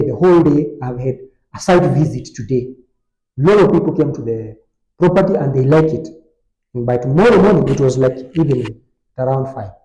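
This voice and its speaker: A man on a podcast, explaining an online scam step by step in a relaxed conversational way, with frequent fillers. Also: mid-range voice, reverberant, poor audio. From Kenya. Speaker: technology expert on a podcast. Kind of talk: conversational storytelling.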